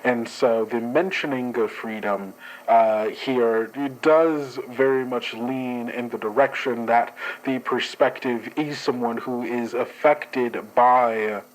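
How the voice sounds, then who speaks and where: A man's voice: mid-range voice, lightly acted, low-quality recording. A man playing a formal, guarded dream investigator who hesitates and speaks in stiff bureaucratic phrasing. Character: formal, guarded male agent analysing dreams. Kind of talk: actual play, in-character report.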